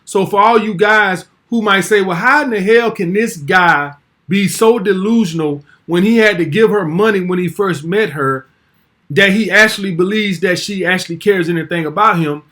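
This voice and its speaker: A man's voice: high voice, moderate pace, sharp tone. A man in his forties hosting a casual commentary vlog, talking bluntly and brashly and swearing freely. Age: 40s.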